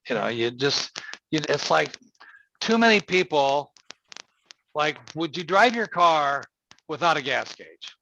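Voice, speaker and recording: A man's voice: a little high, big pitch swings, a little slow, gravelly, pauses often, sharp tone. A man in his seventies hosting a casual call-in chat, talking in a folksy, rambling, emphatic way. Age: 70s. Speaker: host. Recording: muffled lo-fi audio with faint noise.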